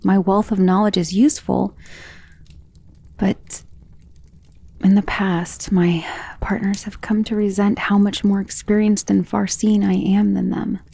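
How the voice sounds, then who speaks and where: A woman playing a centuries-old, tender and protective vampire in an intimate ASMR romance roleplay, speaking softly and earnestly, often in a whisper. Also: mid-range voice, level tone, measured pace, long pauses. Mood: wistful, hesitant. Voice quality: soft, breathy, often dropping to a whisper.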